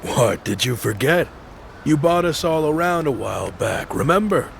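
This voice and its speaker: An actor playing a friendly, teasing homeless man in a video game dub, with a gravelly American voice. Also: on the high side, big pitch swings, normal speed, slight background noise. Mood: jovial, teasing. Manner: teasing, folksy.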